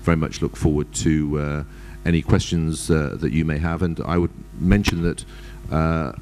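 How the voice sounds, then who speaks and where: Deep-voiced guy at a conference panel, lots of uhs, slight hum behind. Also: little pitch movement, darkish tone. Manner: measured, many hesitations. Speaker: panelist, ambassador. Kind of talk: formal conference talk.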